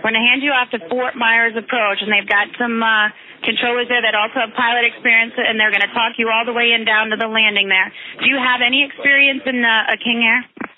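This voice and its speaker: A woman's voice: fairly high pitch, fairly even intonation, brisk, phone-quality sound. A woman air traffic controller giving reassuring, encouraging instructions to a pilot over aviation radio.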